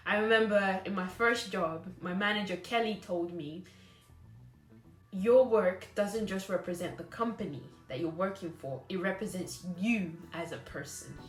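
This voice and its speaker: A woman's voice: mid-range voice, moderate pace, roomy sound, faint music behind. Blunt, scolding woman lecturer. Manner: blunt, scolding, emphatic repetition. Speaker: teacher trainer.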